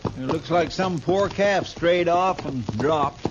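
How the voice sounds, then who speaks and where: An actor playing a folksy, grumbling but deferential deputy in a 1950s radio western, with a rural Western American drawl. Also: male voice, a little high, slow. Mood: grumbling, eager. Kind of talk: 1950s radio drama acting. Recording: dull, noisy old radio recording.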